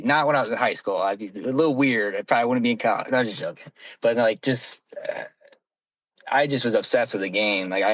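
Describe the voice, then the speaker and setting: A man's voice: on the low side, no echo, low-quality recording. A young man chatting casually as a podcast guest, earnest and rambling. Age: young adult. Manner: earnest, rambling, talks with his hands. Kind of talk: casual chat.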